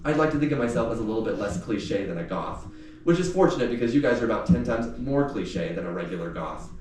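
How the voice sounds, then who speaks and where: A man voicing a defiant, sarcastic teenage girl in a novel read aloud, snarky and quick to insult. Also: lowish voice, lively intonation, darkish tone, close to an everyday voice. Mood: scornful. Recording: echoey room, distant mic, faint noise.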